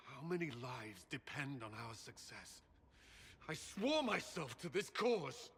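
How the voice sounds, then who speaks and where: An actor voicing a troubled male soldier commander in video game dialogue, haunted and guilt-ridden, speaking in a strained, halting and intense way. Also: medium pitch, big pitch swings, fairly bright tone, husky, in a large hall, heavily acted. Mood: anguished.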